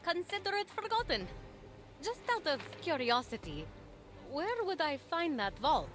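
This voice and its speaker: An actress voicing a plucky, cheeky young mortal heroine, eager, coaxing and teasing, in a video game cutscene. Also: female voice, high voice, sing-song, measured pace, over music. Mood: bright, determined.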